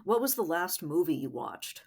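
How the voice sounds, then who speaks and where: A woman's voice: lowish voice, sing-song, moderate pace, husky. An American woman co-hosting a podcast, chatting casually and asking questions. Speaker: podcast co-host. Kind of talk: casual interview chat.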